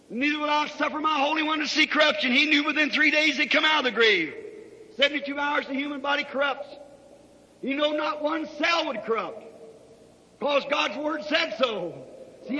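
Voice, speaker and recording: A man's voice: very high-pitched, dull audio. A man preaching a fervent revival sermon in a dramatic, declamatory style. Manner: dramatic, declamatory delivery with emphatic shouts. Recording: reverberant hall recording.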